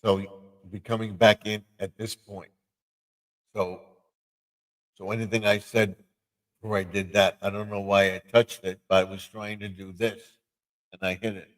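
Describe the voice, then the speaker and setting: A man hosting a livestream in casual chat, halting and repeating himself as he goes. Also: fairly low pitch, level tone, poor audio. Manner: repetitive, halting. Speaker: livestream host.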